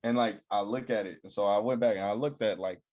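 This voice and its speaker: A man's voice: fairly low pitch, quick pace. A young man co-hosting a podcast, chatting casually and acting out the people in his stories. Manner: animated storyteller, acts out quoted people. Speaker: podcast co-host. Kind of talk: casual chat.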